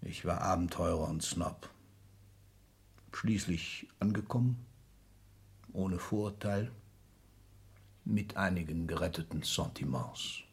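An actor playing an ailing old industrialist patriarch in a German radio drama, husky, declamatory and commanding. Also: male voice, deep voice, takes it slowly, long pauses, full character voice. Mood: bitter resignation.

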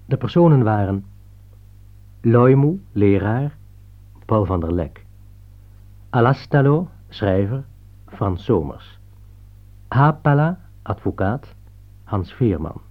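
A man's voice: on the low side, sing-song, slow pace, long pauses. A man formally reading out the closing credits of a radio play as its announcer. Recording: narrow, phone-like old radio recording.

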